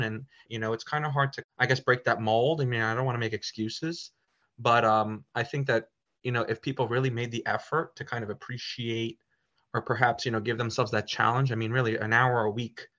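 A man with a bright, forceful voice who talks in a loose, rambling way, full of "you know" and restarts. The audio is a bit muffled and dull. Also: mid-range voice. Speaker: Italian teacher and parish community member. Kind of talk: casual podcast conversation.

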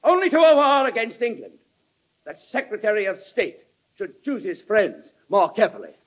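An actor playing a combative, sharp-tongued cabinet secretary making speeches in old-time radio drama style. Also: male voice, high-pitched, big pitch swings, normal speed, soft background music. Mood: oratorical. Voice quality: oratorical. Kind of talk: 1940s radio acting. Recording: muffled old radio recording.

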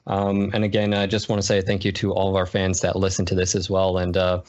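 A man's voice: low-pitched, monotone, fairly quick, darkish tone, dull audio. A man guesting on a podcast, a streamer giving thanks in a loose, rambling way. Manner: loose, filler-heavy. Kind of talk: casual podcast chat.

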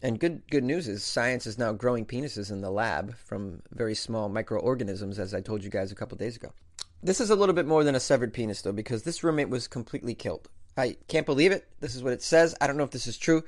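Jokey man hosting comedy news. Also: medium pitch. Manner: wry, jokey, often laughs. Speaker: host.

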